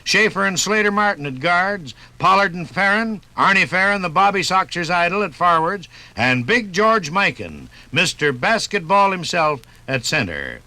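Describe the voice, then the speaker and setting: Male vintage radio host, very bright punchy tone, animated scripted narration, dry close sound. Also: sits high, moderate pace, hardly any pauses, no echo.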